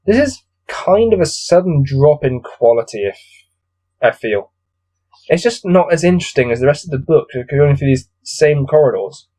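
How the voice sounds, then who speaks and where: A man's voice: fairly high pitch, expressive, moderate pace, dark tone. A man with a cold coming on reads a gamebook aloud, adding weary, exasperated, off-the-cuff commentary. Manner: weary, grumbling, exasperated asides. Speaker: solo gamebook reader and commentator.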